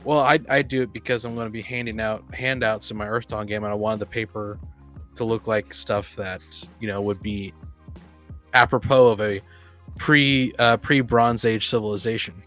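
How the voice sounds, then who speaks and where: A man's voice: on the low side, steady tone, moderate pace, a bit bright, faint music behind. A man co-hosting a casual group podcast chat, hesitant and often repeating and rephrasing himself. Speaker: podcast co-host.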